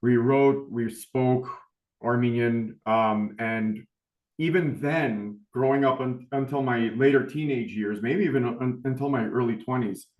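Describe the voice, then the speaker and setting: A man's voice: medium pitch, measured pace, highs cut off. Middle-aged male panelist, humble and chatty, roomy echo on the call. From United States. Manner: humble, rambling, many fillers. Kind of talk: webinar panel talk. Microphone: video call.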